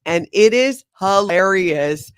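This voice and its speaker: A middle-aged woman from Hawaii hosting a casual livestream, talking in a chatty, animated way with incredulous asides. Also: medium pitch, slow pace. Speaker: channel host.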